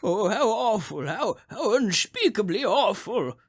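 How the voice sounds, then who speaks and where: A man's voice: high-pitched, big pitch swings, measured pace, heavy background noise. A man playing a crotchety, nervous old man in a radio drama, stammering and agitated as he talks. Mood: horrified, urgent. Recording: eerie music behind the voice.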